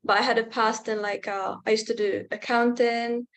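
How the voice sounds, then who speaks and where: A woman's voice: a little high, level tone, moderate pace. A young woman, giving a casual testimonial over a video call, talking hesitantly with lots of filler words. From Czech Republic. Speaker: interview guest, client.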